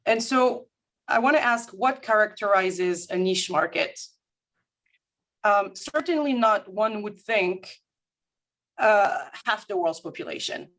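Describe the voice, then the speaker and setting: A woman from Paris with a French accent, a guest consultant presenting formally and in a measured, articulate way to an audience. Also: medium pitch, lively intonation, fairly bright tone. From France, grew up in Paris. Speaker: guest speaker, management consultant. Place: remote video-call talk.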